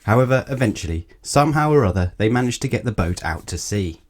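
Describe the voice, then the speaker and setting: Highly animated male children's storyteller. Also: fast talker. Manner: expressive, addresses young listeners directly. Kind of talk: children's picture book read-aloud.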